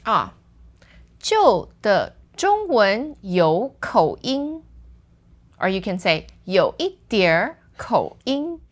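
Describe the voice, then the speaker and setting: A woman co-hosting a Chinese lesson, explaining words and saying slow, careful example sentences. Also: medium pitch. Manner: lively, switches into slow careful Mandarin examples. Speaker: Chinese teacher co-host. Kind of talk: language lesson chat.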